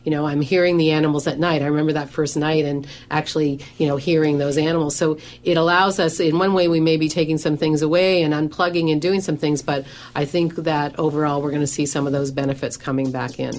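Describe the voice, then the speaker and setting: Low-voiced woman, radio talk guest. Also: fairly even intonation, quick pace, hardly any pauses, darkish tone. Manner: says she has a big voice that carries; conversational, many fillers and restarts. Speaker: professional organizer and coach. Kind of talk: radio show conversation.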